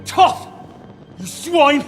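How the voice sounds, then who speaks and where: An actor playing a hot-headed, foul-mouthed medieval captive in a video game, agitated and insulting. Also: male voice, very high-pitched, big pitch swings, very slow, in a large hall, over music, heavily acted. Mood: furious. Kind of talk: video game cutscene acting.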